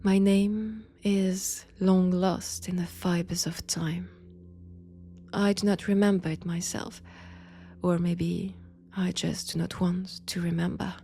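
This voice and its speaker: A woman playing a mad, possessive young vampire in a roleplay audio, with a French accent and a slow, hushed, intimate delivery that often slips into whispers. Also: fairly low pitch, fairly even intonation, creaky. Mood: teasing, secretive.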